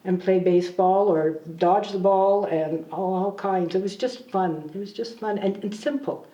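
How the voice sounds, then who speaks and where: A woman's voice: medium pitch, measured pace, warm tone. A woman in her seventies chatting fondly about growing up in a small town, full of asides. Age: 70s. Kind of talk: documentary interview. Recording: dull, roomy sound from a distant mic.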